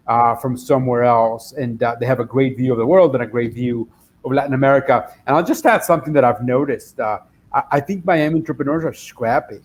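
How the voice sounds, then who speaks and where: A man's voice: mid-range voice, a little mellow, slight background noise. A man speaking as an investor on a panel, eager and often restarting his sentences. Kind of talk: panel discussion.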